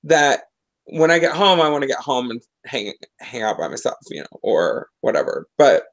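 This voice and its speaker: A woman's voice: extremely low pitch, very animated, normal speed. A woman in her forties talking casually on a video call from her living room, rambling and interrupting herself. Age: 40s. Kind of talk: casual chat. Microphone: video call webcam.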